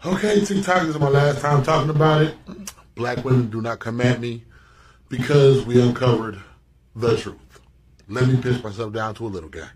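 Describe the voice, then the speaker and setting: Brief: insistent male social-video commentator, mid-pitched, far from the mic, echoey room with a crowd behind. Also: moderate pace. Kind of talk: recorded social video rant.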